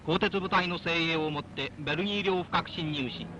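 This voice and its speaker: A man's voice: on the high side, brisk, dull audio, background noise. A man from Japan narrating a 1940s wartime newsreel in a formal, declamatory, rousing manner. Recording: old band-limited 1940s newsreel soundtrack, music behind. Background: loud background music.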